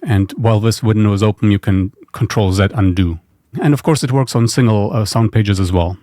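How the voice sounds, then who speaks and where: A man's voice: on the low side, fairly quick, dark tone, no echo. A man giving a casual screencast tutorial as a developer, informal and understated, thinking aloud. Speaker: software developer presenting own tool. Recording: noticeable background noise.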